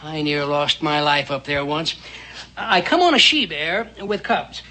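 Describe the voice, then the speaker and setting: A man's voice: on the high side, sing-song, a little slow, sharp tone, exaggerated voice, poor audio. An older American man playing a wily, blustery frontier tavern keeper with rustic, folksy speech in a television western. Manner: rustic, folksy, ungrammatical talk. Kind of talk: 1960s television western acting. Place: studio set of a log tavern.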